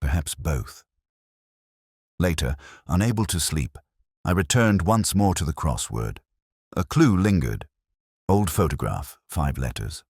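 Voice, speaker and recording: A man reading a scripted first-person detective story in a measured, literary, brooding manner. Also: a bass voice, animated, a little mellow, slightly rough, no echo, clean studio sound. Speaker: narrator.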